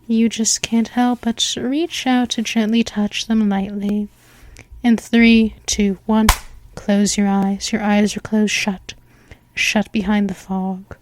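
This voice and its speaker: A woman playing a seductive vampire mistress in a hypnosis roleplay, speaking softly and slipping into whispers. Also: medium pitch, no room sound. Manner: slow, soft, hypnotic, with whispered stretches.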